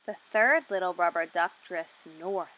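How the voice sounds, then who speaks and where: A woman in her twenties reading a picture book aloud for young children, asking questions and enunciating deliberately. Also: fairly low pitch, fairly bright tone, a little hiss or hum, rough recording. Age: 20s.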